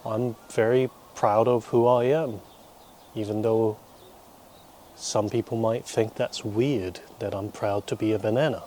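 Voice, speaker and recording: Low-voiced young New man, Korean-born. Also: steady tone, mellow, slight background noise. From South Korea, raised in New Zealand from preteens. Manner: dry, wry humour with deliberate pauses. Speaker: vlogger and teacher. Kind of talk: rambling casual monologue to camera.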